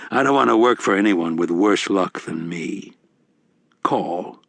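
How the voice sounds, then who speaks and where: A man voicing a guarded, plain-spoken ex-convict with a deadpan, understated manner in an audiobook. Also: low-pitched, a little slow, vocal fry, dry recording, exaggerated voice. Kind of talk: audiobook character acting.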